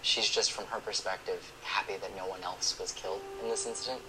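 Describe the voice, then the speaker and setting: A man's voice: deep voice, steady tone, fairly quick, background noise. A young man giving a conversational psychic reading, speaking as a medium on a television show. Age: young adult. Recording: television clip with music underneath.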